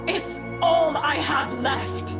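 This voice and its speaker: A woman playing a proud, formal matriarch in a video game drama, speaking in a measured, stately way. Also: high voice, vocal fry, loud music behind the voice, full character voice. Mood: grieving, resolute. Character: proud, formal, grieving matriarch of an estranged family.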